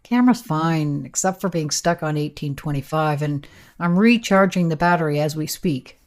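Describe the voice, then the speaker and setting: An actress playing an earnest, keen time traveller with an emphatic, insistent manner in a radio play. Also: female voice, deep voice, moderate pace, natural voice. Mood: assured.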